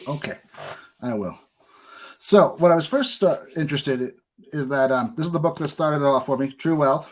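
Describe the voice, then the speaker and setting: A man hosting a podcast interview, introducing and questioning in a somewhat halting, stumbling way. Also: a little high. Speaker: podcast host.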